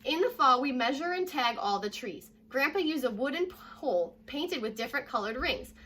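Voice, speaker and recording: A young American woman reading a children's story aloud like a teacher, addressing young listeners directly in a lively way. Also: high-pitched, normal speed, a little hiss or hum. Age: young adult. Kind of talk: children's read-aloud with short asides. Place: living room at home, indoors.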